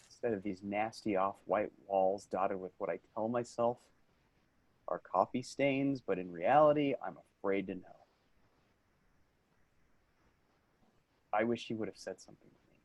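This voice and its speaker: A man's voice: lowish voice, normal speed, no echo, natural voice. A man acting in a play reading as an earnest, fed-up male office worker, grieving and sardonic, with an emotional delivery. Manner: emotional, swinging from shouting to quiet grief. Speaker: actor in a staged reading.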